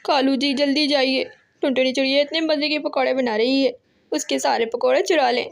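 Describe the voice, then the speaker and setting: A woman's voice: sits high, steady tone, brisk, gravelly. A woman voicing a greedy, spiteful female crow who scolds and makes demands of her husband in a cartoon. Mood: urging.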